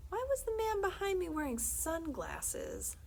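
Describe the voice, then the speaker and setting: A woman reading a children's book aloud as a librarian, conversational and expressive, often dropping into hushed, confiding tones. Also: very high-pitched, measured pace, gravelly, slight background noise. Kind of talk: animated read-aloud of a children's book with brief asides.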